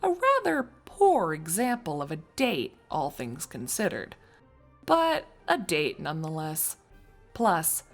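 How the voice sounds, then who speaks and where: Low-pitched, expressive, unhurried woman narrator. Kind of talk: scripted fan fiction narration.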